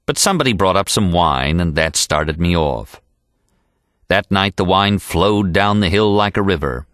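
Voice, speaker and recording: A man reading an audiobook in an expressive, animated storytelling style. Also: sits low, measured pace, no echo, studio quality. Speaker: audiobook narrator. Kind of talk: expressive scripted audiobook narration.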